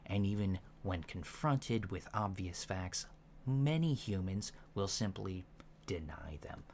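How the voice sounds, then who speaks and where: A soft-spoken man in an ASMR roleplay, playing a calm alien instructor with a halting, deliberate, matter-of-fact delivery, in a lo-fi recording. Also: deep voice. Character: calm alien instructor briefing disguised recruits on humans. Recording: lo-fi recording with faint background noise.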